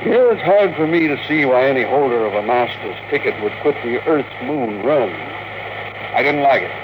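A man's voice: mid-range voice, lively intonation, moderate pace, close to an everyday voice. An actor playing a wistful, wealthy elderly man in an old-time radio drama. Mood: genial, persuasive. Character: elderly wealthy tycoon, wistful, dreaming of the moon. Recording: muffled, noisy old radio recording.

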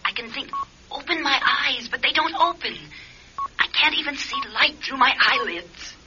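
Trapped patient's inner voice, intense, bitterly sardonic, radio drama style, muffled recording with obvious noise. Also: female voice, high-pitched, expressive, moderate pace. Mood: desperate. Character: trapped, conscious female patient, desperate and bitterly sarcastic. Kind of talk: classic radio drama acting.

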